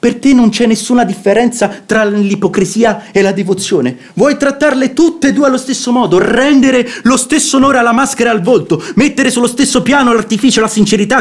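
An actor playing a sensible, outspoken brother-in-law in a classical radio comedy, declaiming with emphatic oratory. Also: male voice, extremely high pitch. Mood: impassioned reasoning.

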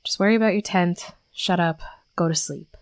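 A woman's voice: on the low side, normal speed, dry recording, close to an everyday voice. A young woman playing a brash, sweary tsundere werewolf bully who scolds with grudging protectiveness, in a scripted audio roleplay. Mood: exasperated, scolding. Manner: scolding, sarcastic, sweary, exasperated. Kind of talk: scripted first-person roleplay audio acting.

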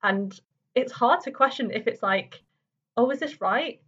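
A young woman hosting an interview, chatting casually in a tentative, halting way. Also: a little high, a bit bright, slightly rough. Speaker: podcast host. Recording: distant mic, dull lo-fi sound.

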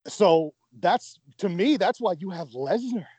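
A man's voice: high-pitched, animated, unhurried, warm tone, slightly rough, no echo. A man co-hosting a podcast in casual chat, enthusiastic, often stammering and restarting his sentences. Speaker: podcast co-host.

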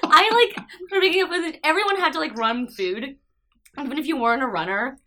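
A young woman co-hosting a casual podcast, telling animated stories and acting out quotes. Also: sits high, fairly quick. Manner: animated storyteller, acts out quotes loudly. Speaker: podcast co-host. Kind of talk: casual chat.

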